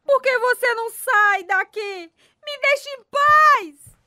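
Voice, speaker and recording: A woman's voice: very high voice, slow pace, sharp tone, dry recording, studio quality, exaggerated voice. A woman playing a battered, long-suffering mother in a radio drama, emotional and pleading. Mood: frightened, pleading. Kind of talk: radio drama dub acting.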